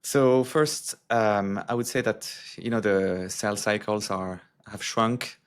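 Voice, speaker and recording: A man who works as a security executive, answering interview questions in a halting, filler-heavy way. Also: on the low side, steady tone, smooth.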